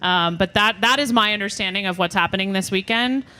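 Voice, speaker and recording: A woman's voice: mid-range voice, fairly quick, bright, forceful tone, clear, resonant tone. A woman co-hosting a live podcast, talking in an unscripted, explanatory and conversational way. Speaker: podcast co-host. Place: live show in front of an audience.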